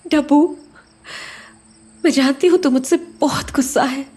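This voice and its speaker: High-voiced, pleading young mother. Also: unhurried, mellow. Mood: sorrowful apology. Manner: earnest, pleading, emotional. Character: distraught determined mother haunted by her lost brother. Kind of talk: horror audio drama acting.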